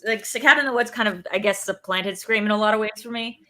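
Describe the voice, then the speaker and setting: A woman's voice: medium pitch, fast, bright, forceful tone. A woman talking casually on a panel, chatty and peppering her talk with "like". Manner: chatty, heavy use of "like". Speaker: podcast panelist.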